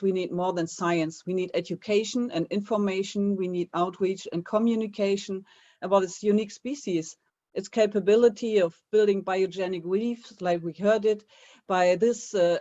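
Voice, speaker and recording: Brief: woman presenter, mid pitch, dull lo-fi video-call sound, steady halting delivery. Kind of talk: webinar presentation.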